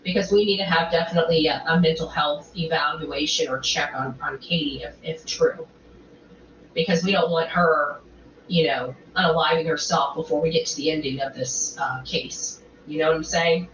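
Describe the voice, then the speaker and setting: A woman with a fairly low voice rambles as an online commentator, halting and repeating herself emphatically. The sound is echoey and muffled. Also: fairly even intonation, a bit bright. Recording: roomy, muffled, faint background noise.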